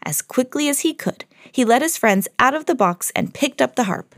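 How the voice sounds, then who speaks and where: A woman storyteller hosting a podcast, reading a children's fairy tale expressively with clear enunciation. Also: high-pitched, animated, moderate pace, dry recording, clean studio sound.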